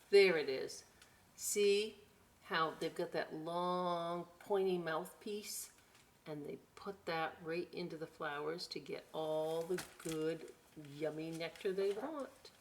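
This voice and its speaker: A woman hosting a storytime for young children, reading expressively with drawn-out words and chatty, encouraging asides. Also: fairly low pitch, a little hiss or hum. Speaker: children's library storytime host.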